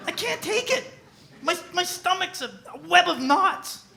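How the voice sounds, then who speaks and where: A man's voice: very high voice, lively intonation, a little slow, vocal fry, background noise, heavily acted. A man playing an anxious, exhausted cartoon character in a live comedy script read. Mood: anxious, whiny. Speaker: actor at a table read.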